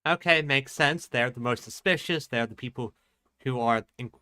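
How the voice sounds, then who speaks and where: Bright-voiced man doing casual game commentary. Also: mid-range voice. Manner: halting, repeats words mid-sentence. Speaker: game streamer.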